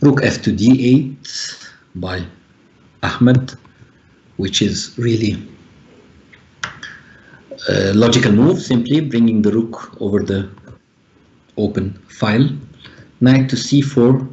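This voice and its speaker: A man's voice: lowish voice, slow pace, long pauses, warm tone, muffled recording, slight background noise. Hesitant man commentating chess. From Egypt. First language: Arabic. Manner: thinking aloud, frequent hesitations. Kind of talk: live online chess commentary.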